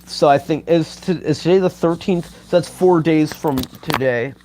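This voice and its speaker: A man's voice: on the high side, mellow, a little hiss or hum. Rambling young man swearing on stream. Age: 20s. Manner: rambling, halting, heavy on filler and swearing. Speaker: YouTuber reading live chat. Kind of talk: rambling casual livestream talk.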